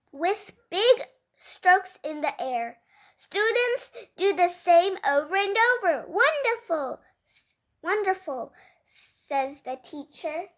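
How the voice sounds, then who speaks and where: A female storyteller reading a children's book aloud, expressive and emphatic, word by word with an occasional stumble. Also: very high-pitched.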